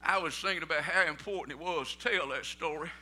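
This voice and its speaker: A middle-aged Southern preacher man with a high, piercing voice. He spins folksy stories in down-home talk, then climbs into fervent shouted preaching. His tone stays sharp and bright throughout. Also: quick pace. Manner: folksy storytelling, rising to shouted preaching peaks; Southern vernacular, "ain't no". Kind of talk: sermon.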